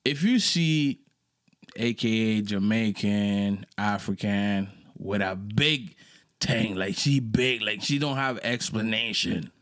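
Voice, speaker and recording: Laid-back young man. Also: slow pace. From Dominican Republic. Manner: laid-back, swears freely, jumps between low mutters and loud laughing bursts. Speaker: podcast co-host. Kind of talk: casual chat.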